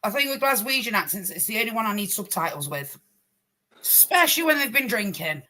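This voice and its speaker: A chatty woman from Nottingham with an East Midlands accent, co-hosting a podcast. Her voice sits in a middle pitch and sounds bright and crisp. She corrects herself mid-sentence and drops in jokes. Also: very animated, fairly quick. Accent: English East Midlands. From Nottingham, England. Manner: chatty, self-correcting, joking. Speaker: podcast co-host. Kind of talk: casual chat.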